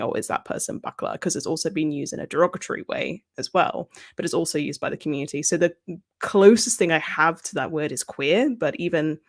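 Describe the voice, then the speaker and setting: A woman's voice: sits low, creaky. Informal woman moderating a panel. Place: video call.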